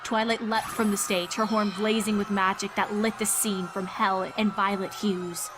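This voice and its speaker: A woman's voice: medium pitch, little pitch movement, normal speed, background noise. A woman giving a dramatic scripted narration of a horror fan-fiction story, with music behind her.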